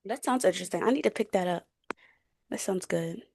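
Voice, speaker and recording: A woman's voice: medium pitch, brisk, gravelly, darkish tone, a little hiss or hum. A young woman in a casual book chat as a podcast co-host, gushing, slangy and playful.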